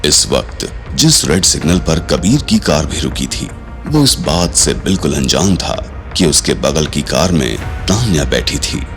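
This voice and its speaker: A man's voice: very deep voice, very animated, a little slow, full character voice. A man narrating a scripted audio drama in a suspenseful storytelling style, with dramatic delivery. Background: music.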